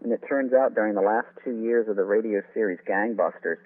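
A man with a fairly low voice speaks over a thin, phone-like line. He answers as an interview guest and expert, laying out his explanations in wordy, winding detail. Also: brisk. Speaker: author and radio historian, interview guest.

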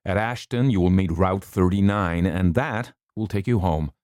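A dignified doctor gives firm, measured instructions in a deep, dark voice, as a male audiobook reader plays him. The sound is close and dry, with no room around it. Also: dry recording, lightly acted. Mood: urgent. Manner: stern, level, measured.

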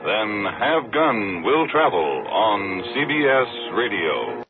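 Through thin, phone-like vintage sound, a man delivers a 1950s radio pitch. His middle-range voice is animated, with faint music behind it. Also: slow. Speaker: announcer. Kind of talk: 1950s radio commercial announcing.

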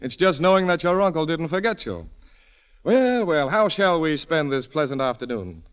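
A man's voice: fairly high pitch, very animated, moderate pace, clear, resonant tone. A man acting in a 1940s radio comedy as a genial, shameless card swindler, florid and mock-courtly in speech. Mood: smooth, coaxing. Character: genial, silver-tongued, shameless old-time male card swindler. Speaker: radio actor. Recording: dull lo-fi vintage radio recording.

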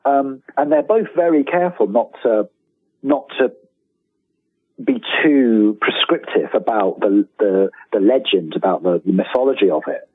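Male interview guest, slightly low husky voice, halting and self-correcting, heard over a phone-like connection. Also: lively intonation. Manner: halting, rephrases and repeats words as he thinks aloud. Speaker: guest author and lifelong fan. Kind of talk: casual interview talk. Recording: tinny, roomy telephone-like line.

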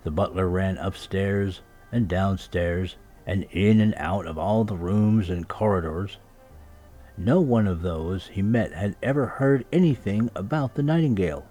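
Mellow low-voiced man reading a children's story. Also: measured pace. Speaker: storybook reader. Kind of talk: scripted children's story narration. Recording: music laid behind the voice. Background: music and sound effects.

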